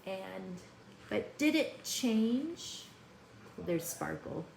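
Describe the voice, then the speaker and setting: Woman, primary school teacher, unhurried pace, read-aloud lesson for young kids, questioning manner, roomy echo. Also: mid-range voice, very animated, vocal fry, a little mellow, a little hiss or hum. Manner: talks to young children, questioning. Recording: echoey room.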